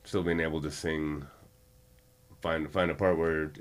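A man with a very deep, low voice speaks in an easygoing interview. He explains his ideas about music earnestly, building his point slowly. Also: little pitch movement. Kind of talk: casual interview chat.

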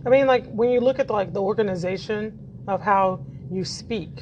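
A woman's voice: medium pitch, normal speed, a little mellow, a little hiss or hum. Explaining woman teacher, slightly dull sound. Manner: explanatory, asks questions, uses "like" and "right?". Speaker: teacher and podcast host. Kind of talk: casual podcast interview.

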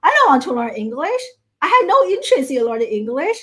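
A middle-aged woman from China speaks with a Chinese accent and a high voice. She gives a motivational coaching talk in an earnest way, restarting phrases and checking in with her listeners. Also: sing-song, a little fast, a bit bright. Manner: earnest, many restarts and "right?" tags.

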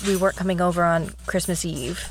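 A young woman with a low voice co-hosting a podcast, chatting casually and rambling with many restarts. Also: steady tone, quick pace, slight background noise. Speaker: podcast co-host. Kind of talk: casual chat.

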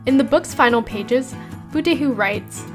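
Female radio podcast host, scripted narration, measured read over background music. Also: high-pitched. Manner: measured, read-aloud delivery.

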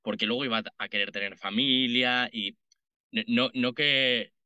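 Man, late thirties, Madrid accent, strikingly bright and forceful voice, dry sound, informal interview talk. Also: mid-range voice, fairly even intonation, unhurried, clear, resonant tone, no room sound, highs cut off. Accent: Madrid Spanish. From Madrid, Spain. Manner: informal, colloquial. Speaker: podcast guest, entrepreneur. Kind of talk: conversational interview answers.

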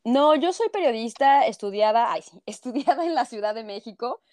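Lively woman with a sing-song Mexico City accent. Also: fairly high pitch, moderate pace, no echo. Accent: Mexico City Spanish, sing-song. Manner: lively, expressive, ends phrases with tag questions. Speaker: journalist guest. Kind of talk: interview.

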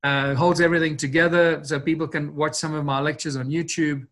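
Male guest, earnest and expansive talker, pitched a bit high, repeats words as he builds his point. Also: flat delivery, a little fast, a bit bright. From Southern Africa. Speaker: interview guest, researcher of ancient ruins. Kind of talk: long-form podcast interview.

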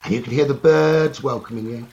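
A man leading a guided meditation slowly and soothingly, in short phrases with long pauses. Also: mid-range voice, a little mellow, highs cut off. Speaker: meditation teacher. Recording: running stream water noise behind voice. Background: trickling stream water ambience.

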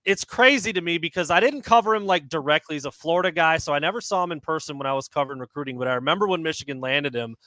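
Upbeat host with a bright, dry voice. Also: male voice, a little high, animated, very fast, few pauses, no room sound. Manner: upbeat, friendly, calls people dude. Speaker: podcast host. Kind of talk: casual sports podcast chat.

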